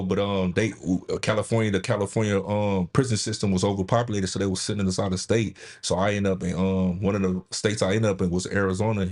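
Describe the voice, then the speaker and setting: A man's voice: fairly low pitch, a little mellow. A man telling his own life story with candor on a live show, often restarting and repeating his phrases. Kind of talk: candid personal storytelling. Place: studio with caller on line.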